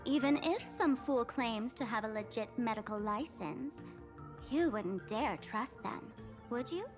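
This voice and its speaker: A woman's voice: a little high, animated, measured pace, music in the background. A woman voicing a mischievous, self-assured young female trickster in a game, coy and mocking in a theatrical way. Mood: sly, mocking. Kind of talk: video game voice acting.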